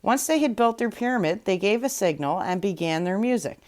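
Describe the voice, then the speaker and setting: A woman reads a fairy tale aloud as a storyteller. Her voice sits at a middle pitch, and the narration is expressive and scripted. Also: brisk.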